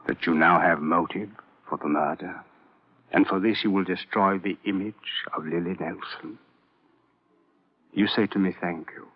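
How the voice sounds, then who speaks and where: Casting: guarded, grieving psychiatrist in vintage radio play; deep register, stately formal delivery, thin old-radio audio. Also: male voice, slightly rough, full character voice. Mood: bitter. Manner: formal, deliberate, stilted phrasing. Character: proud, secretive, grieving male psychiatrist, formal and weary. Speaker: actor in a radio drama. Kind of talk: classic radio drama acting. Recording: tinny, narrow old radio recording.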